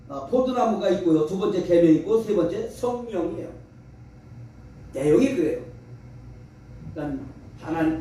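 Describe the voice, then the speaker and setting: A male revival preacher speaks in a high voice with emphatic, exhorting delivery. The sound is heavily echoing and picked up from a distance, with faint noise behind him. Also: mellow. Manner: emphatic, exhorting, with rhetorical questions. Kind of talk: fervent revival sermon and prayer. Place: large echoey room at a revival meeting.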